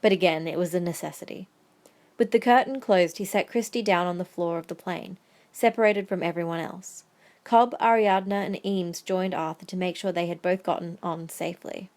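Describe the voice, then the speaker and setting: A woman's voice: lowish voice, normal speed, a little mellow. A woman reading scripted third-person story narration as the narrator of an audio drama.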